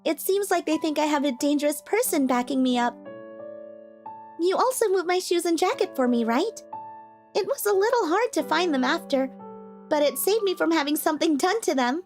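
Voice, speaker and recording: An earnest young schoolgirl, acted by a woman in a manga dub, sweet-natured but quick to speak her mind. The voice is very high and girlish. Clear background music runs under her. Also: lively intonation. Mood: grateful. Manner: sweet, earnest, sometimes blunt. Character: sweet shy junior high girl. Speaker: voice actor.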